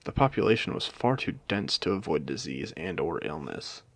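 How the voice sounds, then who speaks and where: A man playing a wry, courteous AI that was once human, speaking formally and deliberately in a dramatic audio roleplay. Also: extremely low pitch, sing-song, fairly bright tone, dry recording, full character voice. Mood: grave, reminiscing. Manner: articulate, theatrical, deliberate, formal wording. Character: wry, courteous male AI, once human, haunting a ruined casino. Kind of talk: dramatic audio roleplay monologue addressed to a listener.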